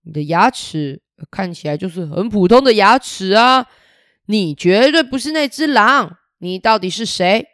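A woman voicing a prim, fussy male elk teacher in a children's story, measured and lecturing. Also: mid-range voice, animated, fairly bright tone, dry recording, studio quality.

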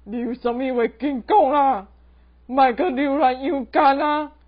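A woman's voice: high-pitched, fairly even intonation, a little slow. A teenage girl acting a stern underworld messenger who hurries the dead in an amateur radio drama. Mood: stern, commanding. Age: teens. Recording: dull lo-fi student recording.

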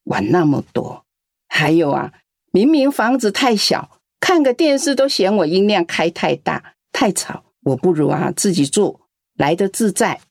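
A woman's voice: fairly low pitch, sing-song, unhurried, vocal fry, darkish tone, no echo, studio quality, heavily acted. An actress playing a lonely, doting elderly grandmother in a radio drama. She talks in a coaxing, chatty way and coughs now and then. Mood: affectionate. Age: older.

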